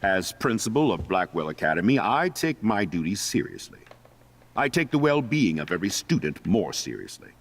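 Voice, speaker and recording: Deep, solemn school principal. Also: male voice, sing-song. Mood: stern reprimand. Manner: formal, deliberate, pompous. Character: stern, formal school principal, solemn authority. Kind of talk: dramatic video game acting.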